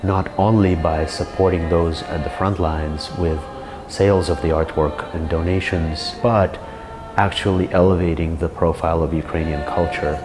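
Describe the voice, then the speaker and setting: A man giving documentary commentary in complete, measured sentences, with music playing behind him. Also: low-pitched, fairly even intonation. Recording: clear music bed behind voice.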